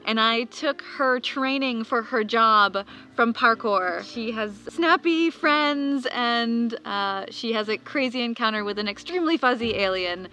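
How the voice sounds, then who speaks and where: A woman's voice: on the high side, measured pace, sharp tone. A woman author talking conversationally and earnestly about her own work, articulate in her phrasing. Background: background music.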